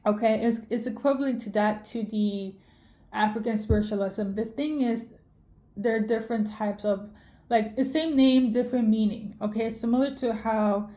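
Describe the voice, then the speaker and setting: Mellow-voiced woman rambling through a reading. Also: a little high, moderate pace. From Sweden. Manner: rambling, many fillers and self-corrections. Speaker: tarot reader. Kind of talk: casual spiritual reading.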